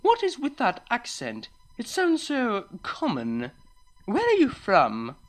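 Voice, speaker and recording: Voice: mid-range voice, huge pitch swings, slow, soft background music, pitched above the performer's own voice. A reader voicing a haughty, scornful upper-class unicorn mare in a story. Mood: mocking disdain.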